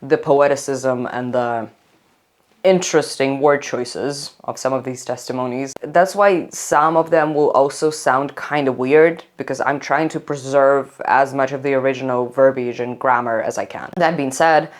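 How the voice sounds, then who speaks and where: A young adult narrator delivers a scripted history video essay in English. The tone is chatty and wry, with casual slang, and the pitch sits in a middle register. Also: fairly even intonation, normal speed. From Saint Petersburg, Russia. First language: Russian.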